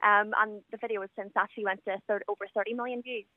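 A woman's voice: medium pitch, fairly even intonation, quick pace, no room sound. A confident 21-year-old woman from Northern Ireland with a Northern Irish accent, chatting with many fillers as a guest on a radio call-in. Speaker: guest caller. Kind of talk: casual radio interview over the phone. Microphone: telephone. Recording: tinny phone line.

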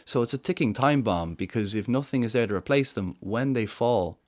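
A man's voice: mid-range voice, expressive, brisk. An Irish man explaining his subject earnestly in an interview, with frequent fillers and restarts. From Ireland. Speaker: guest, woodland charity founder. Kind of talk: interview conversation.

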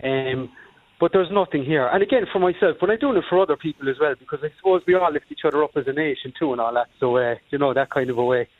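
A man's voice: a little high, quick pace, dry recording. An Irish man in his forties calling into a radio show, rambling and hedging as he talks. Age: 40s. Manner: rambling, hedging, full of fillers. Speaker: caller. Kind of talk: call-in radio chat. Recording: tinny phone line.

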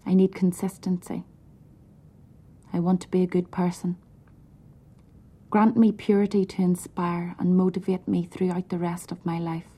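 A woman softly whispering a prayer, hushed and pleading. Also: on the low side. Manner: hushed, pleading, slow and even.

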